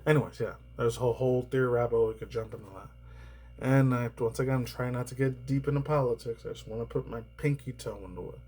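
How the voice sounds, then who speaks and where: A man rambling through casual news commentary, swearing often and laughing between remarks, his voice slightly raspy and tired. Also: mid-range voice, fairly even intonation, fast, a little mellow. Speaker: commentary YouTuber.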